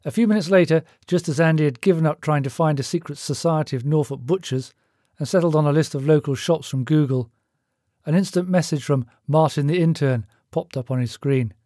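Male audiobook narrator, slightly high-set voice, dark and relaxed tone, scripted storytelling. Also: a little fast, no echo, clean studio sound.

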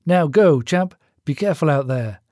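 A man's voice: a little high, lively intonation, moderate pace, warm tone, no room sound, clean studio sound. A man voicing a brash, bossy male office boss in an audiobook story, blunt and matey as he gives orders. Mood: brisk, instructing.

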